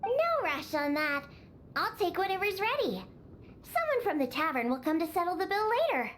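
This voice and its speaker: High-pitched, huffy little catgirl bartender. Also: sing-song, normal speed, fairly bright tone, a little hiss or hum, heavily acted. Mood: businesslike. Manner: huffy, haughty, sulky. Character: grumpy young catgirl bartender who despises alcohol. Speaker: video game character. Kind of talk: animated game voice acting. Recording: music behind the voice.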